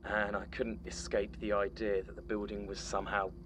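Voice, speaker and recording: Male actor as uneasy exterminator in horror audio drama: low, very even delivery, understated, noisy tape-style sound. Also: normal speed, lightly acted. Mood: quietly unsettled. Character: uneasy male pest exterminator recounting an eerie encounter.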